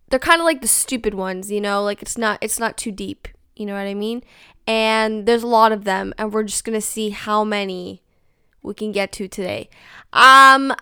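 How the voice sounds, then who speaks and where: A woman's voice: mid-range voice, bright, forceful tone, clean tone, no echo, clean studio sound. A young woman hosting a casual solo podcast, chatty and exasperated, with lots of likes and ums. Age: young adult. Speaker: podcast host.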